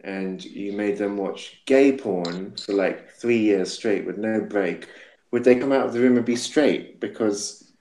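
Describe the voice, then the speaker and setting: Male podcast host, low voice, reflective but animated, echoey room. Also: a little hiss or hum. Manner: reflective, animated, quick to laugh. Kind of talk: casual podcast chat.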